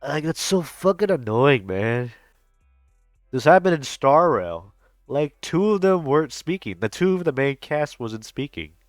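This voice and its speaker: A man's voice: fairly high pitch, sing-song, unhurried, no room sound, clean studio sound, soft background music. A young adult man giving casual, slangy reaction commentary on a game, with frequent swearing. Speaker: streamer reacting to a game story.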